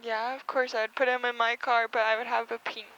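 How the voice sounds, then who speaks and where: A woman with a fairly high voice chats casually as a passenger. Clear vehicle noise sits behind her voice inside the car cabin. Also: flat delivery, a little fast, pushed and bright, muffled recording. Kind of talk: casual chat. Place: inside a loud race car. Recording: noisy moving-car cabin.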